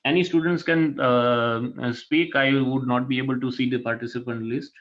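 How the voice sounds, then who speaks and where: A man with a Gujarati Indian accent, a native Gujarati speaker, talks to students in a mid-pitched voice. His delivery is an informal, halting lecture with frequent little check-in tags. The sound is dull, lo-fi online call audio. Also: fairly even intonation. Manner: halting, often checks with "right?" and "fine". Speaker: guest speaker, corporate HR manager. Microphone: online video call.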